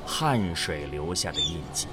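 A man's voice: a bass voice, lively intonation, measured pace, loud music behind the voice. A man narrating a scripted science fiction audio drama.

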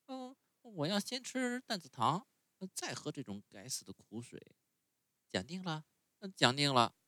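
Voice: medium pitch, sing-song, measured pace, bright, forceful tone, dry recording, pitched above the performer's own voice. A storyteller voicing a stubborn, whining wooden puppet boy who is sick in bed. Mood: whiny. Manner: whining, pleading, wailing.

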